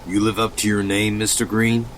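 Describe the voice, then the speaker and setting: A man playing a sardonic wisecracker in an audio drama, speaking in a flippant, deadpan way. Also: lowish voice, moderate pace, slight background noise, natural voice.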